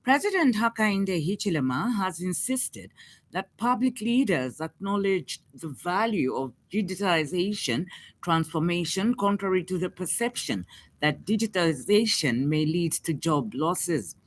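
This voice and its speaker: A woman's voice: on the low side, animated, a little slow. A woman with a Zambian accent reading a scripted radio news bulletin as presenter.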